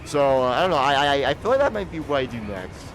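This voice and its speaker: A man's voice: medium pitch, big pitch swings, fast talker, fairly bright tone, faint music behind. Rambling young man streaming a game. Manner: rambling, repeats words when starting sentences. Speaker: streamer playing a video game. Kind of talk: casual live-stream chat.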